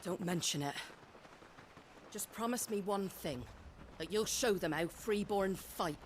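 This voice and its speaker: An actress playing a blunt, proud innkeeper woman, rallying villagers in a dramatic video game performance over loud music. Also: mid-range voice, measured pace, a little hiss or hum, close to an everyday voice. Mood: wry, warm. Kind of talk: dramatic video game acting, impassioned speech.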